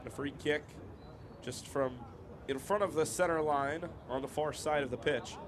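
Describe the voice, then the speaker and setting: A young American man doing brisk, chatty play-by-play commentary on a student football match. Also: a little high. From United States. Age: young adult. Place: outdoor football ground. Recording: live outdoor broadcast with loud crowd. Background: loud crowd noise.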